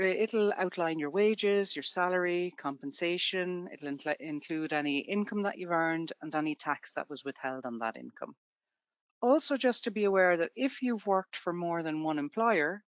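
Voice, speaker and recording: A woman's voice: lowish voice, dry recording, dull audio. A woman from Ireland with an Irish accent, presenting a tax webinar to students in a conversational way with everyday examples.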